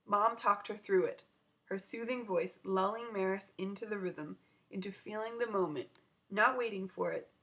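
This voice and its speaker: A woman narrating a children's story aloud in an expressive storytelling style. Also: medium pitch, normal speed, rough recording.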